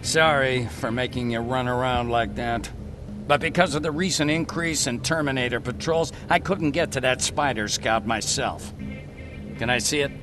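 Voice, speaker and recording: An actor playing an eccentric, talkative scientist and hacker in a video game cutscene, eager, blunt and given to explaining. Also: male voice, mid-range voice, normal speed, over music, lightly acted. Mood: friendly, eager.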